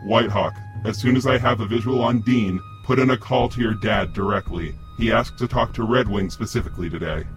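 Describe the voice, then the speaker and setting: A man plays a guarded young superhero in an audio drama. His voice is on the low side and his delivery is terse and businesslike. Dramatic music is prominent behind him. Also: brisk, few pauses, natural voice.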